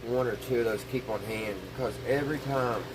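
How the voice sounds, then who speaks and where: A man with a mid-pitched voice talks casually to his viewers as he works. He sounds folksy and unscripted, thinking aloud as he goes. A loud, steady hum of noise runs behind his voice. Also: brisk. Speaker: host of a radio repair channel. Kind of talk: casual hands-on narration while working.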